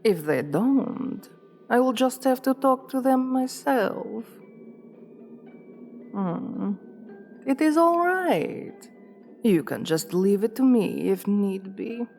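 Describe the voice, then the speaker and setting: A woman's voice: on the high side, big pitch swings, slow pace, gravelly, pauses often, a little mellow, faint music behind, heavily acted. A woman playing an assertive, seductive vampire queen in an ASMR roleplay, formal and courtly, coaxing the listener with veiled menace. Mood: possessive, authoritative.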